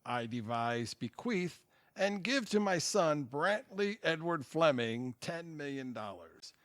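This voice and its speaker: A man playing a formal, apologetic family lawyer in an audio drama, speaking in measured, polite phrases. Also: on the high side, big pitch swings, fairly bright tone, no echo, clean studio sound, exaggerated voice. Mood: solemn. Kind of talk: audio theatre acting.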